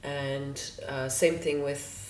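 A woman's voice: extremely low pitch, flat delivery, slow pace, darkish tone. Woman in an echoey room. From Los Angeles, USA. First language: Hungarian. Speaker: guest musician. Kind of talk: casual interview chat.

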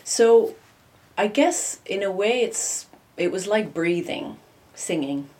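A middle-aged woman whose was Hungarian, talking casually in an interview, warm and chatty with frequent laughs. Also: medium pitch, expressive, slow. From United States. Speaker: interview guest, opera singer.

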